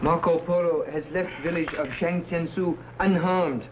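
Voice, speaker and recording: A man's voice: a little high, fairly even intonation, normal speed, close to an everyday voice. A man playing a cautious, deferential Chinese conspirator, speaking stilted English in an old adventure serial. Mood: anxious. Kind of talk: 1950s TV adventure serial acting. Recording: roomy, dull, hissy old broadcast recording.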